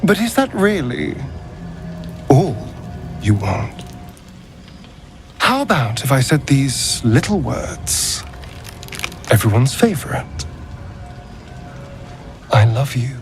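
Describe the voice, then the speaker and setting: Slow, swooping, seductive suave lover. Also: male voice, medium pitch, very animated, music in the background. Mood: playful, flirtatious. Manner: teasing, purring, theatrical. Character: suave, flirtatious male lover with theatrical flourish. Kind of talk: dramatic game romance dialogue.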